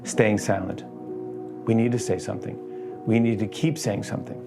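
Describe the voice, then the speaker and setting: Low, earnest man over guitar music. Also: fairly even intonation, normal speed. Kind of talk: earnest appeal to camera.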